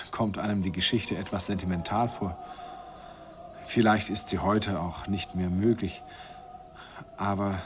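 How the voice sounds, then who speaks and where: An actor narrating as a man recalling his young love, in a hushed, reflective voice, in an old radio recording. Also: sits low. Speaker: narrator and young German student Andi. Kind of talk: 1950s German radio drama narration. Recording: faint hiss of an old 1951 radio recording.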